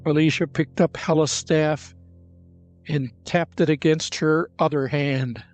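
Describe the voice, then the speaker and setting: Slow, measured male storyteller. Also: on the high side, fairly even intonation, a little mellow, soft background music. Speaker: narrator. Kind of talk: dramatic scripted narration.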